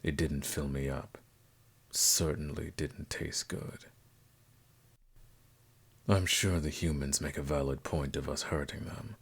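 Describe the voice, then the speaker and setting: A man playing a suave, courteous vampire nobleman in a scripted ASMR roleplay, speaking formally and softly in a gravelly voice. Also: fairly even intonation, slow, pauses often, exaggerated voice. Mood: sombre, measured. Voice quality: very deep, gravelly. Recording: music playing behind the voice.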